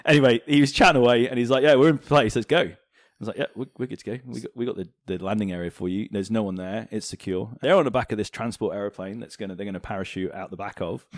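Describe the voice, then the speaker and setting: A man from Hong Kong chatting casually as an interview guest, telling anecdotes in an animated way. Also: lowish voice, fast talker, no room sound, studio quality. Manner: animated, chuckles as he tells anecdotes. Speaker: interview guest, former fighter pilot. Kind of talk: casual storytelling in a podcast interview.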